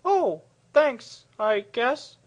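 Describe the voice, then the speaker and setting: A woman's voice: on the high side, expressive, extremely slow, dull audio, full character voice. A woman playing a sensible, sarcastic teenage girl in a cartoon parody, dry and teasing. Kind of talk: comedic cartoon parody dub.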